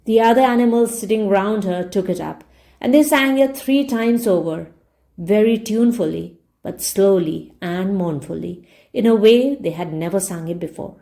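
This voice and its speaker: A woman's voice: mid-range voice, normal speed, gravelly, mellow. A woman narrating a story like a read-along English teacher, explaining as she reads. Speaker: reader and English teacher explaining word meanings. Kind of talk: audiobook-style narration with word explanations.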